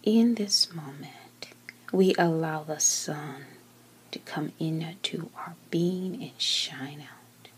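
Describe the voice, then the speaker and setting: A woman with a low, breathy voice guides a meditation at an extremely slow pace. She speaks gently and calmly, often close to a whisper, leaving long pauses between short phrases. A faint ambient noise sits behind her. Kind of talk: slow guided meditation, soothing scripted narration.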